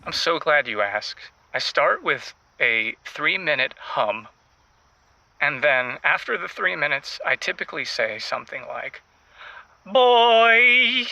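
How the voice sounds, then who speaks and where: A man playing a pompous, florid writer calling a radio advice show, speaking in ornate phrases with theatrical pauses. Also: on the high side, expressive, gravelly, sharp tone, no echo, heavily acted, low-quality recording. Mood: pompous, earnest. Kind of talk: improvised comedy radio call-in.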